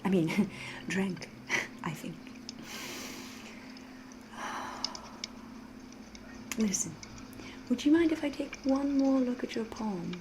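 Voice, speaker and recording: A woman voicing a flirtatious, menacing vampire in an ASMR roleplay, refined and theatrical, with drawn-out phrases slipping into breathy whispers. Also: medium pitch, noisy background. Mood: hungry, seductive. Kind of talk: ASMR roleplay, scripted character monologue.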